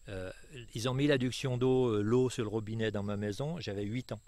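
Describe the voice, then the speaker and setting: A man in his sixties from France, his voice sitting a little low. He talks in halting bursts, full of fillers and restarts, as he answers questions outdoors. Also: steady tone, darkish tone, a little hiss or hum. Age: 60s. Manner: hesitant, many fillers and restarts. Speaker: retired property owner. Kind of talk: interview. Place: outdoors on a jungle estate.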